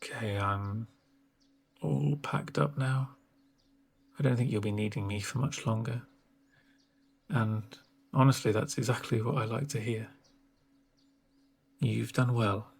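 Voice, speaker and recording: Male, soft-spoken ASMR doctor role. Gentle, reassuring delivery, husky with vocal fry. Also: medium pitch, steady tone. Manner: gentle, reassuring, unhurried with pauses. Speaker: ASMR performer playing a home-visit doctor.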